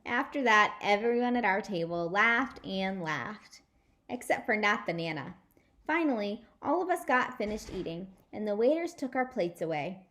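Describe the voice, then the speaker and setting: A woman reading a children's chapter book aloud as a storyteller, with expressive, dramatic delivery. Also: medium pitch, normal speed. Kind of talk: animated read-aloud narration for children.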